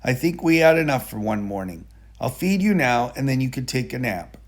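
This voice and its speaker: Male reader as kindly storybook teacher figure: patient, encouraging, husky, with lively intonation. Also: mid-range voice, brisk, natural voice. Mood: patient, instructive. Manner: instructive, gently encouraging. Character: patient, kindly man teaching his pet monkey letters. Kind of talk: storybook character dialogue.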